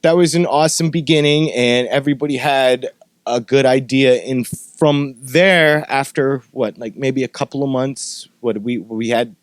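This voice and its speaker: A man's voice: mid-range voice, steady tone, normal speed, dry recording, studio quality. A man talking informally and with profanity as he hosts a casual podcast chat. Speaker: podcast host and former metal band member.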